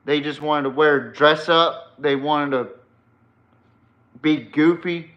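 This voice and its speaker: A man's voice: fairly high pitch, fairly even intonation, slow pace, dull audio. A man hosting a sports podcast, giving casual, opinionated commentary in a blunt, emphatic way and swearing freely.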